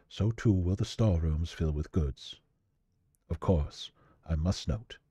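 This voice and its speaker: A man's voice: low voice, mellow, slightly rough, dry recording. A man playing a weary, kindly old tavern keeper, reading his letter in a solemn, formal, reassuring way for a fantasy audio roleplay.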